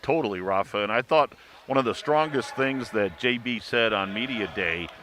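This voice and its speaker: Guy on a sports panel, punchy bright voice, lowish, some arena noise behind him. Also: moderate pace. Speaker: sports radio play-by-play announcer. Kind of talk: pre-game sports panel discussion. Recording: clear background noise, venue ambience.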